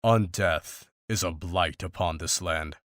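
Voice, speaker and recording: An actor playing a grim, dry-witted monster hunter in a fantasy visual novel, speaking in a measured, formal, deadpan way. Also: male voice, low-pitched, no room sound. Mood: grim, explaining.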